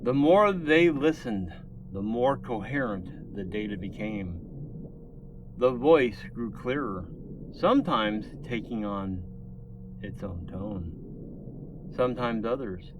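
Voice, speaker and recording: A man's voice: lowish voice, expressive, takes it slowly, long pauses, background noise. A man reading dramatic scripted science fiction narration as a storyteller.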